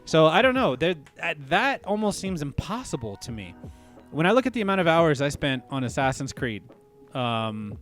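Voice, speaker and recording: A man's voice: fairly high pitch, very animated, moderate pace, a bit bright, soft background music. Man musing aloud on a podcast. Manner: thinks aloud, frequent restarts and "you know what I mean". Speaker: podcast co-host. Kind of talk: casual podcast discussion.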